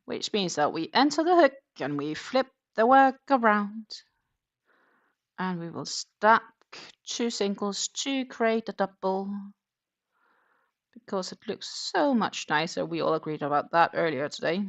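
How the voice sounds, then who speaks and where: A woman's voice: mid-range voice, lively intonation, measured pace, dull audio. Woman chatting while crocheting. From Denmark. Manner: chatty, thinking aloud, reads viewer comments, counts stitches under her breath. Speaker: crochet livestream host. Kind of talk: casual chat while crocheting.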